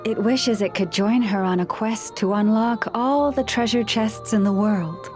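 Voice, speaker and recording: A composed young woman guide, voiced by an actress in a game cutscene, speaking in a calm, reassuring way. Her voice sits at an ordinary middle pitch. Soft game music runs faintly underneath. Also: unhurried, close to an everyday voice. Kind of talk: video game cutscene dialogue.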